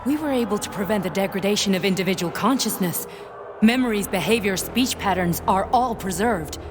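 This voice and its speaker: A woman's voice: medium pitch, brisk, noisy background, close to an everyday voice. A woman acting an intense, defiant scientist in a video game, speaking with grave, persuasive emphasis. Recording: long hall reverb, music underneath.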